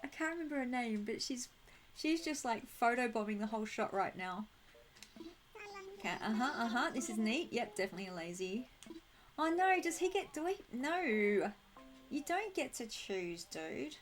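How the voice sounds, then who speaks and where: A woman with a fairly high, slightly croaky voice hosts a casual game stream. She talks in a bubbly, giggly way and is affectionate with her viewers. Faint music plays behind her. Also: expressive, moderate pace. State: croaky throat, needs clearing. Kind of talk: casual chat to viewers while playing.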